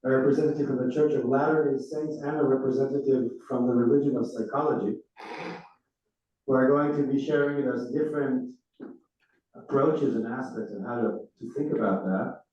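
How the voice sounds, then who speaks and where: A rabbi whose first language is Hebrew talks to a roomful of congregants in a loose, conversational way. His voice carries a mellow, dark tone and sits well back from the microphone. It rings through a big echoing room and comes across dull and lo-fi. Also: mid-range voice, fairly even intonation, fairly quick. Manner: chatty, informal lecturing. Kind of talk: informal announcements to a class. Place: large synagogue room. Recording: echoey hall, far off, dull.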